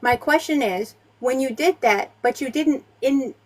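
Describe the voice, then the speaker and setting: A woman with a fairly high voice questions two debaters on a live stream. She presses a technical grammar point and keeps talking over the others. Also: a little slow.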